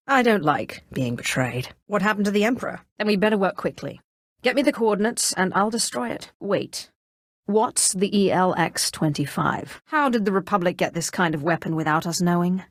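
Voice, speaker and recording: A woman's voice: fairly low pitch, no echo, clean studio sound. A woman voicing a haughty, ruthless Sith sorceress lord in a video game, with imperious, coldly menacing delivery. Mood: defiant, displeased. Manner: imperious, cold, menacing, deliberate diction. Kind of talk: dramatic video game voice acting.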